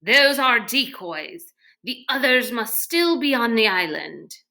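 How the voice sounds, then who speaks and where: A woman's voice: a little high, big pitch swings, slow pace, vocal fry, sharp tone, voice pushed up, full character voice. A woman voicing an angry villainous male leader in a storybook, snarling harsh orders with contempt.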